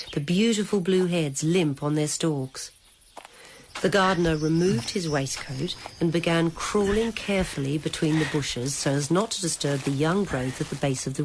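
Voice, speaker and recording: A woman narrating a literary story in a measured, deliberate, dramatic style. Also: on the low side, a little hiss or hum.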